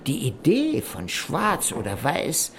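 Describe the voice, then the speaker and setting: Measured male narrator over prominent music. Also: fairly high pitch, wildly swooping pitch, fairly bright tone. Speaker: narrator reading an explorer's journal. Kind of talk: scripted literary narration in a radio play.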